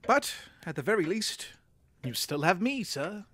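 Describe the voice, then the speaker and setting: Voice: mid-range voice, sing-song, a little slow, fairly bright tone. Meek, hesitant manservant. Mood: deferential. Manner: hesitant, obsequious, formally polite. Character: meek, long-suffering, deferential male manservant. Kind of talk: comedic audio drama acting.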